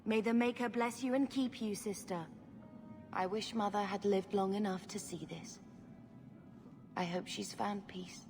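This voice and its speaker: An actress playing a devout, gentle young female mage in a video game, speaking in an earnest, heartfelt way. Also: mid-range voice, steady tone, measured pace, close to an everyday voice. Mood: heartfelt, wistful. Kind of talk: video game cutscene acting. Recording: echoey hall, music behind.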